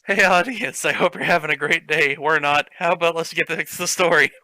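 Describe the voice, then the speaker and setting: A young man with a very bright, sharp, slightly high voice reads a fanfic aloud for laughs. He is sarcastic, swears freely and keeps breaking off to mock the writing. It sounds dry and close, with no room sound. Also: steady tone, fairly quick, no room sound. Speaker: host reading a fanfic aloud. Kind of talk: comedic reading with commentary.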